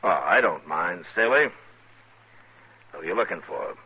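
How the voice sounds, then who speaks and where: An actor playing a patient, laconic frontier marshal, gruff but decent, with a dry, unhurried manner in a 1950s radio western. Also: male voice, sits low, lively intonation, bright, forceful tone, full character voice. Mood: guarded. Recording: muffled vintage radio broadcast.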